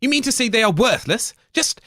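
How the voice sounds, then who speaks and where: Grumpy man, high and bright. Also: big pitch swings, a little fast, dry recording, clean studio sound. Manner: grumpy, blunt, insulting. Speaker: radio comedy host. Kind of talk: improvised comedy radio.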